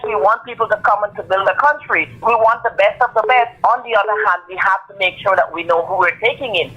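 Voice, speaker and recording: A man's voice: high-pitched, sharp tone, highs cut off, a little hiss or hum. A Guyanese man with a slight foreign tinge in his accent, phoning in to a radio show, talking in an earnest, insistent way and repeating phrases for emphasis. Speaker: caller. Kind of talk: call-in radio talk.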